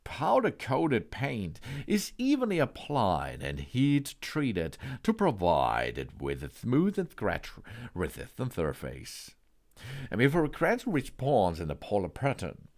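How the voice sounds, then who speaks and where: A man presenting a product walkthrough, partly reading out specifications, with halting phrasing and occasional stumbles. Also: medium pitch, wildly swooping pitch, darkish tone. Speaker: product reviewer. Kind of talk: product walkthrough, partly read from spec sheet.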